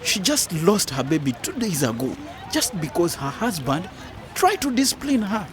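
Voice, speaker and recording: A man's voice: high-pitched, very animated, moderate pace, noisy background. A man playing an earnest, indignant councillor in an educational radio drama, lecturing a friend in a preachy, emphatic way. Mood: shocked, admonishing.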